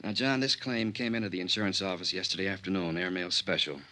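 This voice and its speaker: Brisk American insurance adjuster, 1950s radio play, deepish hard-edged voice, sardonic and pally. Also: male voice, steady tone, pushed and bright. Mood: businesslike. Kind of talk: 1950s radio drama acting.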